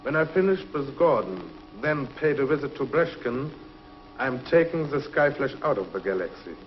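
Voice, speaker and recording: A man's voice: medium pitch, big pitch swings, normal speed, faint music behind, lightly acted. An actor playing a gloating, ruthless escaped convict in a 1950s TV drama, taunting his captives. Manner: taunting, sneering. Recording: dull lo-fi 1950s TV soundtrack.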